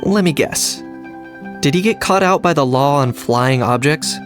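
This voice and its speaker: A man's voice: on the high side, lively intonation, unhurried, faint music behind. A man playing a composed, decisive, dry-witted character in an anime game.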